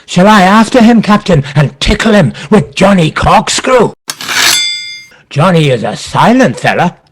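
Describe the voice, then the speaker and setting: Man playing an eager high-voiced pirate henchman. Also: big pitch swings, measured pace, slight background noise. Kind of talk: audio play acting.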